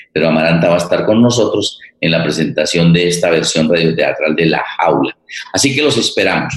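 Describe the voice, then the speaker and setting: An older man with a Colombian accent hosting an unscripted event, haltingly searching for his words. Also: low voice. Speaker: host and theatre director. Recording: roomy, echoing voice pickup.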